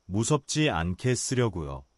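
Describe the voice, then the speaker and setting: A man voicing a gentle, kind young male tiger in a bedtime story, speaking low, quiet and earnest. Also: very animated, unhurried, no room sound, studio quality. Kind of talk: storybook voice acting.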